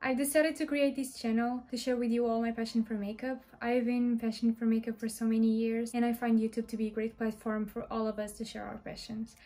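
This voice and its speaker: A woman's voice: a little high, level tone, fairly quick, few pauses, dark tone, soft background music. A young woman in her twenties from Portugal, speaking English with a Portuguese accent as she chats through a makeup tutorial in her bedroom. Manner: friendly, chatty, explaining step by step. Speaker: beauty vlogger. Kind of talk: makeup tutorial talk to camera. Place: small bedroom indoors.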